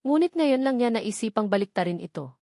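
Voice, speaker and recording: A woman's voice: fairly high pitch, expressive, a little fast, mellow, dry recording, studio quality. A woman reading a scripted story in a dramatic narrating style.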